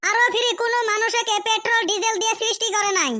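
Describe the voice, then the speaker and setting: A man voicing a brash, lovesick village college boy in a comic cartoon, with a Lower Assam rural accent and a pitched-up effect. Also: level tone, fast talker, background noise. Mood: indignant. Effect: pitched-up chipmunk-like voice. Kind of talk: comic meme cartoon dialogue.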